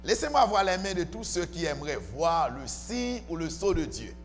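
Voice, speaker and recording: A man's voice: a little high, big pitch swings, normal speed, slight background noise. A man preaching to a congregation as pastor, emphatic and often asking questions, on a handheld mic in a church. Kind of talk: sermon. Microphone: handheld microphone, filmed from far camera. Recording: church hall reverb with faint music.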